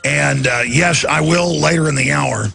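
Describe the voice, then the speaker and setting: A hoarse, raspy man hosting a radio talk show, straining his voice as he rants in indignant political commentary from a studio. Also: on the high side, normal speed, music in the background. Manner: ranting, indignant.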